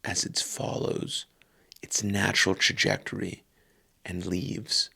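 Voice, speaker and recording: Deep, gravelly male meditation guide. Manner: calm, unhurried, phrases broken by long pauses.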